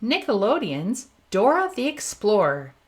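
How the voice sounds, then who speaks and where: A woman's voice: mid-range voice, big pitch swings, a little slow. A woman hosting a children's story time, speaking directly and encouragingly to young listeners as she introduces picture books. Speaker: children's story reader, host. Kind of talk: read-aloud for young children.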